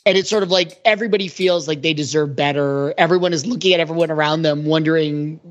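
A man's voice: fairly high pitch, steady tone, fast talker. A man co-hosting a podcast, talking casually and analytically about pop culture. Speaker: podcast co-host. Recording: slightly dull lo-fi audio.

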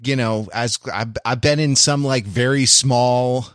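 A man's voice: medium pitch, fairly even intonation, measured pace, a bit bright, smooth, no room sound, clean studio sound. An American man co-hosting a podcast, telling stories casually in a rambling, wry, erudite manner. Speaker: podcast co-host. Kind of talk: casual conversational anecdote telling.

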